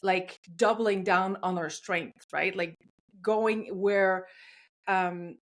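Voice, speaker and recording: A woman's voice: mid-range voice, steady tone, slow pace. A woman host whose first language is French, talking casually and often stopping to search for the right words. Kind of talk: casual interview talk.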